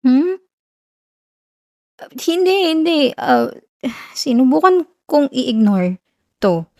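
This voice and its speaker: A woman playing a shy, whiny tsundere best friend in an ASMR romance roleplay, with a Filipino accent and a stammering, halting delivery. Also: high voice, sing-song, mellow, no room sound, studio quality, heavily acted. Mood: shy, vulnerable.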